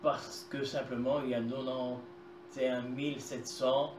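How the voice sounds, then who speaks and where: A man's voice: mid-range voice, flat delivery, normal speed, distant mic. A mature man, giving plain, matter-of-fact answers as an interview guest. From Belgium, Tournai area. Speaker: interview guest, genealogist and author. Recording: echoey room, clear background noise.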